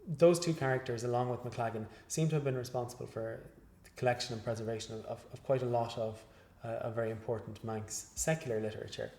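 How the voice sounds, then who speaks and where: A male researcher giving an interview after a lecture, speaking in a measured, hesitant way with many fillers and restarts. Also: mid-range voice, steady tone, a little mellow. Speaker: guest researcher. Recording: echoey room.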